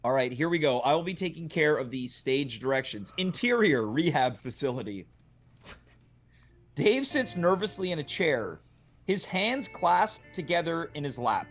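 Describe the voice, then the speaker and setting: A man's voice: on the high side, big pitch swings, normal speed. A man hosting a casual show, chatting and reading scripted text aloud. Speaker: show host.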